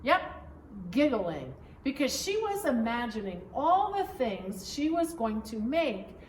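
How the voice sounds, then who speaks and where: A woman voicing a gentle, playful father telling a story to his daughter, as a picture-book read-aloud. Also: fairly high pitch, very animated, slow pace. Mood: playful, patient. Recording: roomy, distant mic, faint background noise.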